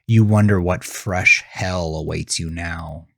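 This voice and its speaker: A man narrating a horror story with a grave, deliberate, suspenseful delivery. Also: deep voice, steady tone, gravelly, fairly bright tone, no room sound, studio quality. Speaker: author and narrator of horror podcast. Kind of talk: dramatic second-person horror story narration.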